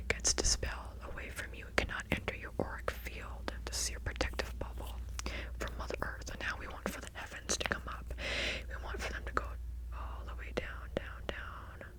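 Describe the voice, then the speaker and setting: A woman whispering breathily in a soothing, reassuring ASMR roleplay as a gentle witch healer, often repeating phrases slowly. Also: rough recording. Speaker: ASMR creator playing a healer. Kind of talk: whispered ASMR roleplay, guided energy healing.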